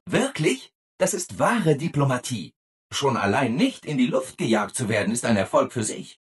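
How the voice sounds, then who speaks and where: An actor playing a relentlessly cheerful, eager-to-please robot assistant in a video game, exaggeratedly upbeat and obliging. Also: male voice, fairly high pitch, breathy edge, full character voice. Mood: brightly agreeable. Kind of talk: video game character acting.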